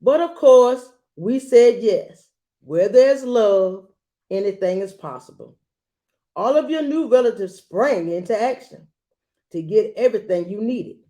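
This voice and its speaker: A woman reading a children's picture book aloud expressively and gently, adding small asides as she goes. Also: mid-range voice, expressive. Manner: gentle, unhurried, adding commentary to listeners.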